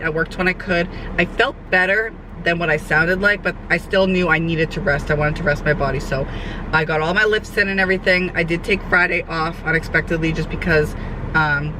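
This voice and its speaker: A young woman, a fitness trainer, chats casually in a vlog update, her voice hoarse and nasal from a cold. She rambles with lots of fillers. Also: on the low side, fairly even intonation, fairly quick. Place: sitting outdoors, traffic nearby. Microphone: camera mic. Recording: outdoor camera audio with traffic noise.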